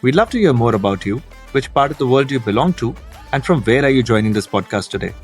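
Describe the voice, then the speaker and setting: Husky-voiced podcast host over soft music. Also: male voice, medium pitch, very animated, fast. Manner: formal, enthusiastic, reads a prepared intro. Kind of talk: scripted podcast introduction and interview.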